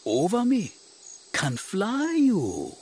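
A man's voice: high voice, wildly swooping pitch, drawn-out words, darkish tone, breathy edge, voice pushed up, exaggerated voice. A man voicing a tall, hostile, half-crazed alien guard who taunts in clipped, broken syntax in an audiobook. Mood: taunting, menacing.

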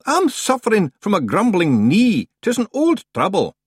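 Shady stranger, loud, blunt, pitched up, husky, dry sound. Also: male voice, wildly swooping pitch, moderate pace, dry recording, exaggerated voice. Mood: gruff. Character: tall dark stranger, loud and rough.

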